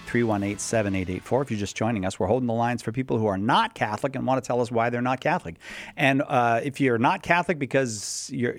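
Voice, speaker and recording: A man's voice: mid-range voice, fairly bright tone. A man hosting a live radio call-in show, chatty and friendly, often correcting himself. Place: radio studio.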